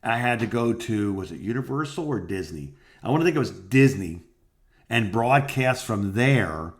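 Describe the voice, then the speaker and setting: A man's voice: fairly low pitch, animated, normal speed. A middle-aged man chatting casually as a co-host, reminiscing in a rambling storytelling way. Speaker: podcast co-host, former radio host.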